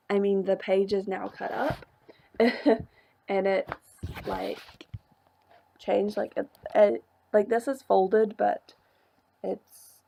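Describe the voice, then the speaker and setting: Slightly hoarse, gravelly young woman. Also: medium pitch, warm tone. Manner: chatty, rambling with many "like" fillers and small laughs. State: slightly hoarse from a coming cold. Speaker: craft vlogger. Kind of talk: casual chat showing a journal.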